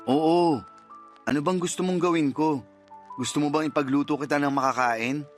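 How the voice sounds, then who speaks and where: A man's voice: fairly high pitch, normal speed, soft background music, natural voice. A man in a radio drama playing a meek, apologetic young boyfriend who tries to placate his partner. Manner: meek, conciliatory. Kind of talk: Tagalog radio drama acting.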